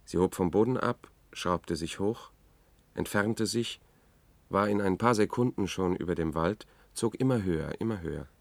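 Tired sober reporter in a radio play, lowish voice, super dry, grave measured telling. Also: male voice, vocal fry, no room sound. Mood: grave, regretful. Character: weary, sober male journalist recounting a tragedy. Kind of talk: radio drama first-person narration.

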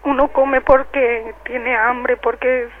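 A muffled telephone line with faint noise carries a woman's high voice. She is answering questions in a radio interview, giving her own testimony. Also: flat delivery, takes it slowly. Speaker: detainee giving testimony by phone.

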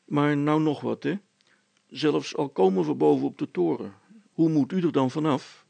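A man's voice: fairly high pitch, normal speed, gravelly, dark tone. A man voicing a grumbling, wary father in a storybook, talking in a plain, colloquial way. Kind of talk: storybook character voice.

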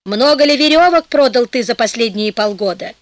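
A woman doing a brisk, clever soldier. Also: a little high, lively intonation, dry recording, natural voice. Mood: commanding. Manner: brisk, commanding, matter-of-fact. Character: bold, clever male soldier, weary and easygoing.

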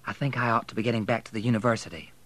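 A man voicing a haughty young Southern lady, prim, brisk and condescending, in an audiobook novel. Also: fairly low pitch, fairly even intonation, a bit bright, dry recording, close to an everyday voice. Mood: firm, indignant.

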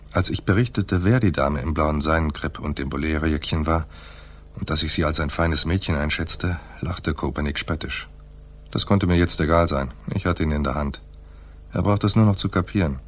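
A wry private detective from a noir radio play. His voice sits very low and has a husky grain. He talks with laconic, sardonic understatement. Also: moderate pace. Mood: dry, brooding. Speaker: narrator and lead actor. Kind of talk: noir radio drama, first-person narration and dialogue.

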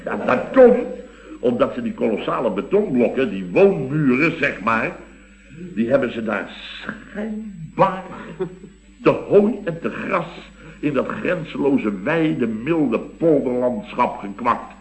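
A man's voice: medium pitch, sing-song, slow pace. An actor playing a chatty, gushing, teasing boss of a building firm in a radio comedy, effusive and theatrical, repeating his words. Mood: mock admiring. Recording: dull, muffled vintage radio recording.

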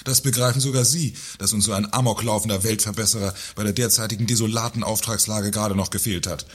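A man's voice: lowish voice, fairly even intonation, fast, slightly rough. An actor playing a pompous, condescending male company director in a satirical radio play, speaking in formal, sarcastic phrases. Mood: indignant. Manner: sarcastic, haughty, formal wording.

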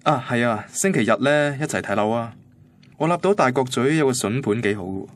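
An actor playing an earnest, devoted young boyfriend in a Hong Kong Cantonese radio drama, speaking colloquially and sounding quietly vulnerable. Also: male voice, medium pitch, animated, a little fast, natural voice. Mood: hopeful, coaxing. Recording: music bed under the voice.

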